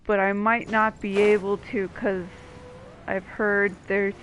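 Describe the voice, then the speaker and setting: A woman's voice: medium pitch, little pitch movement, slow pace, fairly bright tone, a little hiss or hum. A woman giving casual let's-play commentary, with playful, wry asides. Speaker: gaming video host. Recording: slightly dull lo-fi mic. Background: faint game music.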